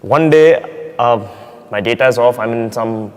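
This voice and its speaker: A man in his thirties telling an animated anecdote in a panel debate. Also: medium pitch, measured pace, clean tone. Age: 30s. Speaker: debate participant. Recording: reverberant studio hall.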